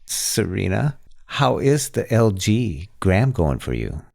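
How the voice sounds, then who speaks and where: A man's voice: on the low side, very animated, slow, gravelly, darkish tone. A man hosting a podcast in casual chat, with a teasing, joking way of talking. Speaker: podcast host.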